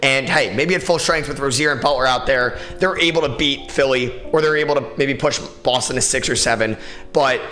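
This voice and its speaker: A young man with a raspy, tired voice, hosting a solo sports podcast in a casual, opinionated way with many fillers. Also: medium pitch, fairly quick, bright, forceful tone, soft background music. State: tired, short on sleep. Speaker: podcast host.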